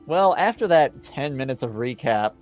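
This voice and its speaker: A man acting as game master, casually recapping a tabletop roleplaying story in a halting, drawn-out way. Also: mid-range voice, expressive, darkish tone, soft background music.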